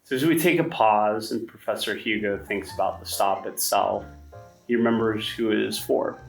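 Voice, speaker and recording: Low-voiced guy game mastering a horror game, storyteller vibe, echoey, music faint behind. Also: gravelly. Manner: measured, storytelling narration with some restarts. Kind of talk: narrating a tabletop horror game. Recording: echoey room, mic at a distance, faint music.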